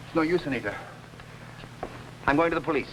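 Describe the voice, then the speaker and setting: An actor playing a grave, tormented orchestra conductor in dramatic 1950s television melodrama style. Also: male voice, on the high side, fast talker, a bit bright, natural voice. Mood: appalled, bitter. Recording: noisy old 1950s TV soundtrack.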